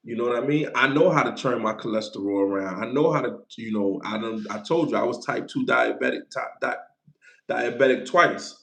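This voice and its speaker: Gravelly man in his forties, echoey. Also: mid-range voice, fairly quick, fairly bright tone. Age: 40s. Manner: loose, playful, repeats himself, thanks viewers for gifts. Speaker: livestream host. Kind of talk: casual livestream chat.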